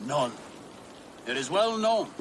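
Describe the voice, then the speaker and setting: A man's voice: fairly high pitch, sing-song, takes it slowly. A man playing a merry, carefree village elder resigned to his fate, in a dramatic game cutscene. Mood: serene. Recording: clear outdoor ambience noise.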